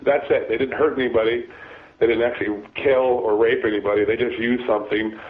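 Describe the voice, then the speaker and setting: A man, a former deputy sheriff, talking as a guest with a raspy voice from a cold, halting and restarting his sentences. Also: mid-range voice, fairly even intonation. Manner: halting, many restarts and repeated words. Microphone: phone. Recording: phone line.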